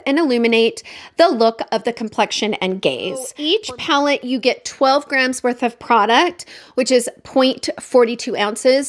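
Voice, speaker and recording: Nasal, congested woman, 30s, beauty vlogger, slightly high-pitched, chatty reviewer. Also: fairly bright tone. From Utah, USA. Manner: chatty, friendly, detailed. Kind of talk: makeup review talk to camera.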